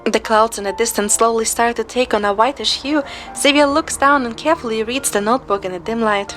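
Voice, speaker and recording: A woman narrating a scripted first-person story in a measured, descriptive way. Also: a little high, a bit bright, faint music behind.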